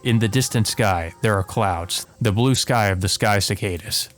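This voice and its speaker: Let's play host, male, lowish register, narrating a visual novel with casual asides over game music. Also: moderate pace. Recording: music playing behind the voice.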